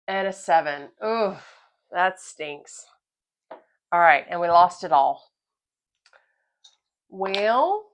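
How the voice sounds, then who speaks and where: A woman hosting a craps channel, calling each roll and explaining a betting strategy, her voice a little off from a cold. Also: lowish voice. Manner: casual, explanatory, calls each dice roll. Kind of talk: play-by-play commentary while testing a betting strategy.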